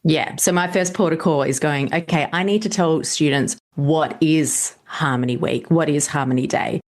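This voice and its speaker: A middle-aged woman talks in a lowish voice, relaxed and chatty. She tells stories in an animated way and laughs easily, like a teacher chatting with a colleague. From Australia (grew up in Perth). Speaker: teacher and podcast co-host. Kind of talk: casual chat.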